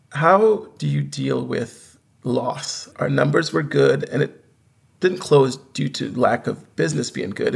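A man from Barbados calling into an advice show, speaking earnestly and haltingly with many fillers. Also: medium pitch, gravelly, breathy edge. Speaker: caller, surf shop founder. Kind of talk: casual call-in chat.

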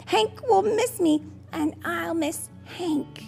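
Woman playing a stubborn, high-voiced little kid. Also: lively intonation, fairly quick, creaky, faint music behind, full character voice. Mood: pleading, upset. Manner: insistent, pleading. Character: stubborn anxious young child, first-day school refuser.

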